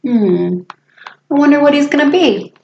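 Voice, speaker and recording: A woman reading a picture book aloud to children like a teacher, with an animated, dramatic delivery and casual asides. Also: sits high, normal speed, gravelly, background noise. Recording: echoey room, slightly muffled sound.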